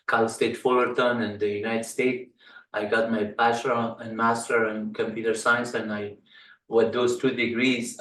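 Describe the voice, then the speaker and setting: A middle-aged man from Afghanistan, a native Persian speaker, teaching computer topics while he clicks through a website. He talks haltingly and fills pauses with ums and uhs. His voice is flat and sits back from the mic in a roomy, echoing space. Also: medium pitch. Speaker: computer science instructor. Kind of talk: screen-share tutorial walkthrough. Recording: echoey room, far from the mic.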